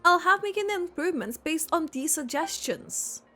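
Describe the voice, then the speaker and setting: A woman voicing an earnest young sports organiser in a video game, brisk, practical and friendly. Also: very high-pitched, expressive, sharp tone, faint music behind, heavily acted. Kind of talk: video game character acting.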